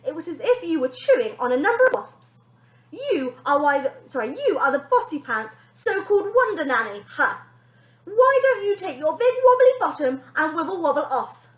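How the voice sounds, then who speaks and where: A woman voicing a bossy, spoiled young girl who shouts her demands indignantly, in an echoey room. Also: extremely high pitch, sing-song, a little fast, few pauses, pitched above the performer's own voice.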